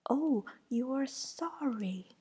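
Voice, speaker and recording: A furious teenage witch girl lashes out in a high, shrill whisper, as a woman reads her lines. Her scolding stays sharp-tongued and raised in pitch throughout. The whisper is slow and unhurried. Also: expressive, husky, full character voice.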